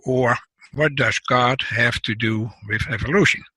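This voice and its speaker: An older man with a Dutch accent, explaining his views as an interview guest in a measured way with frequent restarts. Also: mid-range voice, pushed and bright, husky, no room sound. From Netherlands. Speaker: guest scientist and author. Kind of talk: casual interview talk.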